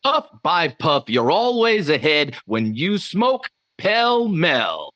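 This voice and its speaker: Slow, bright vintage ad announcer, played by a man. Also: on the high side, huge pitch swings, a little hiss or hum, exaggerated voice. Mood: assured, selling. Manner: emphatic, deliberate. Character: smooth-selling male cigarette commercial announcer. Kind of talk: 1950s radio advertising. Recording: slightly dull band-limited sound.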